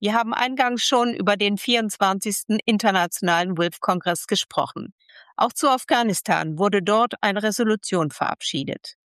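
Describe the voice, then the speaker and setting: Woman presenting radio news, steady and plain. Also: mid-range voice, normal speed, no echo, studio quality. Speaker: radio reporter and co-host.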